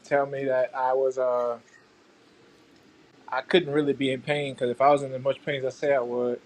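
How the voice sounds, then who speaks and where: A man's voice: mid-range voice, flat delivery, normal speed, a little mellow, rough recording. A man, giving a personal account as a patient advocate in a panel discussion.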